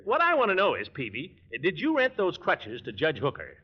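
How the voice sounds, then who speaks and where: A man's voice: high voice, huge pitch swings, normal speed, breathy edge, exaggerated voice. An actor playing a blustering, easily exasperated middle-aged man in a 1940s radio comedy. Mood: wheedling. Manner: blustery, chuckling, easily riled. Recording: dull 1940s radio recording.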